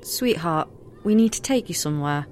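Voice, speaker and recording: Eerily controlled low-voiced mother. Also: lively intonation, moderate pace, a little mellow, slight background noise. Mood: coldly coaxing. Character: Parker's mother, eerily controlled, coaxing middle-aged woman. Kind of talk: audio drama dialogue.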